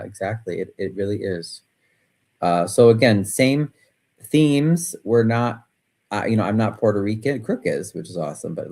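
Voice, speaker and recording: Male host, rambling casual talker, lower voice, reacts a lot. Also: warm tone. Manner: rambling, reactive, many fillers. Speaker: podcast host. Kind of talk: casual chat.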